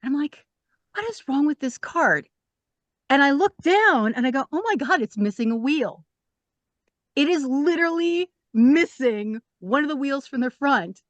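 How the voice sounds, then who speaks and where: A woman's voice: high voice, animated, normal speed, no room sound, highs cut off. A woman telling a casual everyday anecdote in a breezy, self-amused way. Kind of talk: casual storytelling.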